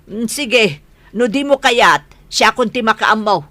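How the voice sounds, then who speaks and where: A woman's voice: fairly high pitch, animated, unhurried, pushed and bright, no room sound. A woman playing a hard-working, exasperated wife and mother in an Ilocano radio drama, plain-spoken and insistent.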